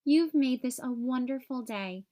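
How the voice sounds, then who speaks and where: Tender, high-voiced storybook turtle mom read by a woman. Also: unhurried, dark tone. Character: loving turtle mother in a children's picture book.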